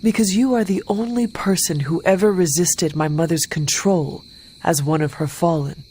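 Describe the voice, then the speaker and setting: An actress playing a loyal, steadfast warrior, speaking in a calm, earnest and formal way for a video game cutscene. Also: female voice, fairly low pitch, normal speed, a little hiss or hum, close to an everyday voice. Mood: calm, supportive.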